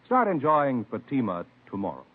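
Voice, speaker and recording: A man's voice: medium pitch, huge pitch swings, moderate pace, husky. A man reading a 1950s radio advertisement in an emphatic salesman's manner, on a tinny old broadcast. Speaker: commercial pitchman.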